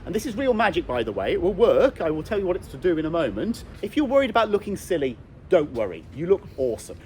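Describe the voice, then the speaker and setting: A man's voice: high voice, big pitch swings, fast, a little mellow, a little hiss or hum. A man working as a tour guide outdoors, leading the group in a playful, theatrical way. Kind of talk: guided walking tour patter. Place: outdoors in a foggy graveyard.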